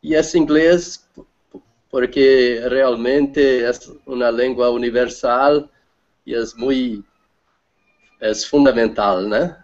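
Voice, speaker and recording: A man's voice: medium pitch, takes it slowly, pauses often, a little mellow. A man from Brazil, a native Portuguese speaker with a Brazilian accent, speaking hesitantly as a student and searching for words. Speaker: language student. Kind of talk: casual chat. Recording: dull lo-fi video call audio.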